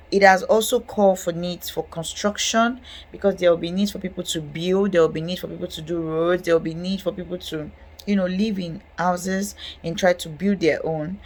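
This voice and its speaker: A young Nigerian woman with a Nigerian accent, hosting a real estate channel and chatting to camera with lots of "okay" and "guess what". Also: on the low side. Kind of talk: casual talk to camera.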